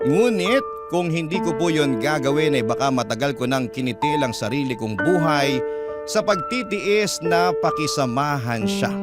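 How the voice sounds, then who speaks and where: A man with a Filipino accent, a radio storyteller reading a listener's letter dramatically. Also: a little high. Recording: loud music bed under the voice. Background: loud instrumental music.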